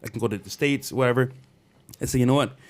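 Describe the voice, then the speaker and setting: A man from Barbados telling his life story as a podcast guest, in relaxed, chatty talk. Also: mid-range voice, fast. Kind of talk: casual interview talk.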